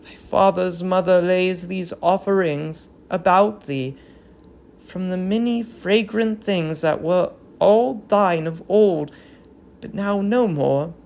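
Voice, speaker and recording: A woman's voice: fairly low pitch, slow pace, vocal fry, a little mellow. A woman playing a grieving, dignified old queen in a Greek tragedy reading, with solemn, declamatory verse delivery. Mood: solemn grief. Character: grieving old queen mourning her dead grandchild, bitter, dignified.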